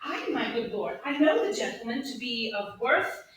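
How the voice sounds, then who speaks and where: A woman in a staged Shakespeare reading playing a witty, lovestruck young gentleman in a theatrical, courtly manner. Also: on the high side, very animated, normal speed. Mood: earnest. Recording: distant, very echoey room, faint noise.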